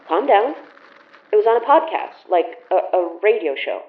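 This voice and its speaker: Casting: actress for young woman, friendly and teasing, naturalistic audio drama delivery, halting and casual, roomy lo-fi sound. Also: mid-range voice, lively intonation, close to an everyday voice. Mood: reassuring, chatty. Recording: roomy echo, dull lo-fi sound.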